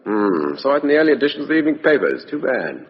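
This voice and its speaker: A man's voice: mid-range voice, very animated, fast talker, lightly acted. An actor playing a breezy, evasive British ex-army major and con man, with clipped upper-class slang, in a 1950s radio drama. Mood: casual, evasive. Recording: muffled, reverberant vintage radio recording.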